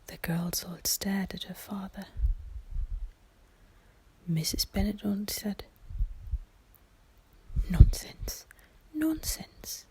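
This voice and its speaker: A woman reading an audiobook in soft ASMR style, in a hushed, breathy whisper with a gentle delivery. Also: medium pitch, fairly even intonation, takes it slowly, pauses often, rough recording. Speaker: audiobook reader.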